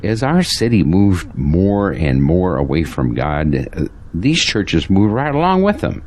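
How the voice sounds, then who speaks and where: A man's voice: low voice, big pitch swings, a little slow, a little mellow, slight background noise. A man acting as a devout, troubled pastor in an audio drama, speaking with earnest, preachy conviction. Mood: grave, disapproving.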